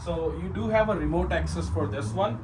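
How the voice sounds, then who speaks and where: A man's voice: high-pitched, a little fast. A man giving an informal, unscripted product walkthrough as an installer, explaining step by step and often checking in with the listener. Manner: explanatory, often ends points with a checking "right?". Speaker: security system installer giving a product demo. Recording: clear background ambient noise.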